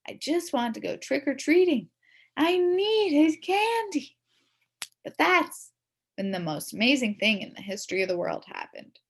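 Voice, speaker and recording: A woman reads a children's storybook aloud with hugely swooping intonation. Her voice sits on the high side, with some creak and a slightly husky edge, and she stretches key words for dramatic effect. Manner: expressive, dramatic emphasis with drawn-out words. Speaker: storybook reader. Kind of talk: animated children's story read-aloud, first-person as a kid.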